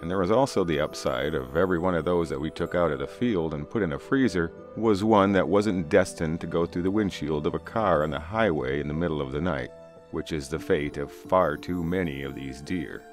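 A man's voice: rumbling low, a little fast. A middle-aged man narrating a first-person spooky story in a conversational, folksy way. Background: music.

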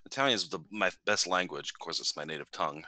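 A man playing a tabletop game over a call, speaking in a measured way while making suggestions. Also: sits low, expressive, pushed and bright, no echo. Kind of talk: casual in-character chat over a call.

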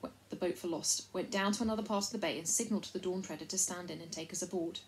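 A woman voicing a decisive young king in a storybook, brisk and authoritative. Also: on the low side, close to an everyday voice. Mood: cautious, planning.

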